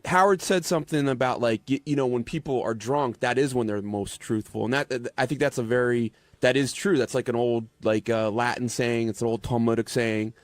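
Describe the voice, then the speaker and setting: A man's voice: medium pitch, steady tone, dry recording. A man on a radio panel talking casually, stammering and correcting himself as he goes. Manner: halting, stammering, self-correcting. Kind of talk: casual talk.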